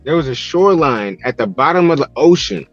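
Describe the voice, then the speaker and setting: A man's voice: mid-range voice, expressive, a little fast, over loud music. A man in his late thirties teasing and ribbing the others as a podcast guest on a video call. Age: 30s. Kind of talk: casual banter. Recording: dull video call audio.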